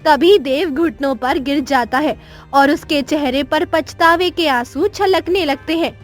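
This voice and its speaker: A woman's voice: sits high, a little slow, soft background music. A woman narrating a scripted children's moral story as its storyteller.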